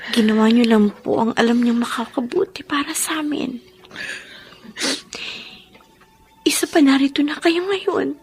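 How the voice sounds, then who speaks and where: A gentle young daughter in a Filipino radio drama. Her voice is fairly high and breathy, her manner soft and respectful. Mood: tearful, forgiving.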